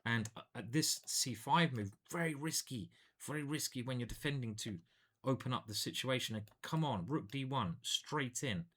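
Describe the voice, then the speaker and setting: A man's voice: mid-range voice, animated, normal speed, fairly bright tone. A man, a chess grandmaster, casually commentating his own online games in a playful, chatty way. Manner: playful and chatty, hums and sings little jingles.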